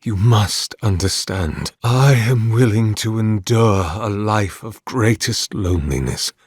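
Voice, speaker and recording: An actor playing a devout, obsessive male scholar of soul magic in a fantasy video game, speaking with formal, grandiose, deliberate diction. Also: lowish voice, expressive, breathy edge, no echo, studio quality, exaggerated voice. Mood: solemn. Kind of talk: theatrical fantasy game acting.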